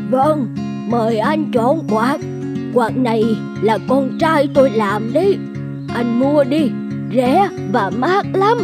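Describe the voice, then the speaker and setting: A woman voicing a kindly, humble old village fan seller in a folk-tale cartoon, gentle and plaintive. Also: high-pitched, animated, slow pace. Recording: music behind the voice.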